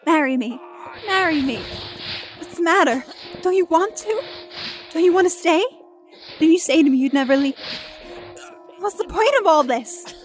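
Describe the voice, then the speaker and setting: A woman's voice: very high voice, lively intonation, moderate pace, long pauses. A woman playing a domineering, possessive lover in a radio drama, commanding and taunting in her insistence. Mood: menacing. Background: music.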